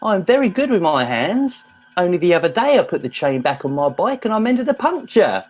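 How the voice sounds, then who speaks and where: A man's voice: high-pitched, very animated, brisk, darkish tone, muffled recording, soft background music. A man playing a naive, keen and whiny young recruit in a comedy radio play. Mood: eager, petulant. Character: eager, naive young boy recruit, a mummy's lad.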